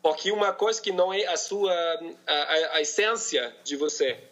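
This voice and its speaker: A man from Colombia with a Bogotá Spanish accent, a native Spanish speaker talking as a podcast guest in a second language, halting and searching for words. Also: a little high, fairly bright tone, lo-fi sound. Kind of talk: casual conversation in a second language.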